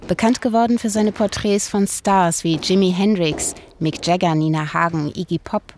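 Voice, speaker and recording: A woman's voice: on the low side, steady tone, moderate pace, a little mellow, slight background noise. A woman reading short scripted introductions as a radio documentary announcer.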